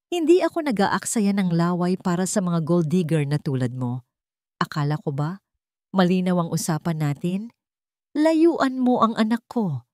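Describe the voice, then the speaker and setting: Female reader doing a rich snobby older mom from a radio drama, icy, insulting, kinda low voice. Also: lively intonation, normal speed, darkish tone, dry recording, clean studio sound, close to an everyday voice. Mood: scornful. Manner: cold, contemptuous, commanding. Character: cold, haughty older rich mother, insulting. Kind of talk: dramatic Tagalog audio story dialogue.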